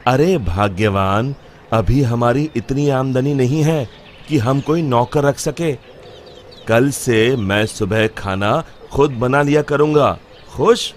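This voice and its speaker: A man's voice: mid-range voice, takes it slowly, a little hiss or hum, close to an everyday voice. A man playing a weary, mildly exasperated working husband in a cartoon moral story.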